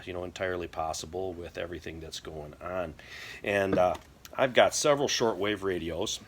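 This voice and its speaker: Deep-voiced middle-aged American man. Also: a little hiss or hum. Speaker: prepper video host. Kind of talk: casual explanatory talk to camera. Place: indoor workshop or garage.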